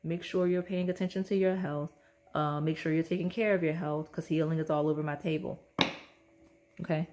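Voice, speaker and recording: Woman doing a chatty tarot reading, kinda hoarse, slight rasp, lowish voice. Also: steady tone, a little fast, warm tone. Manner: chatty, repeats "okay?" often. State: hoarse, losing her voice. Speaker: tarot reader. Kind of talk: casual pick-a-card tarot reading.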